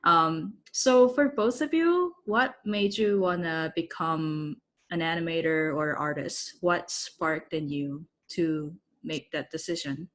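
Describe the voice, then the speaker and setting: A woman's voice: deep voice, takes it slowly. A woman conducting a casual interview, asking questions and commenting in a thoughtful, conversational way. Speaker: interviewer, film producer.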